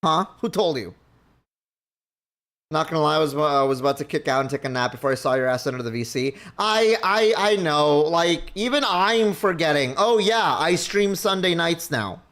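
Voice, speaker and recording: Higher-voiced man rambling on stream. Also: lively intonation, normal speed, a bit bright. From Mexico. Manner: rambling, thinking aloud with many restarts. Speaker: livestream host. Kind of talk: casual chat with viewers.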